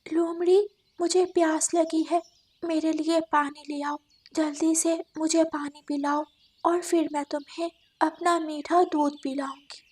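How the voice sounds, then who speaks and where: Woman as a high-voiced naive cartoon goat. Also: little pitch movement, measured pace, darkish tone. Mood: demanding. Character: naive, trusting female goat, simple and stubborn.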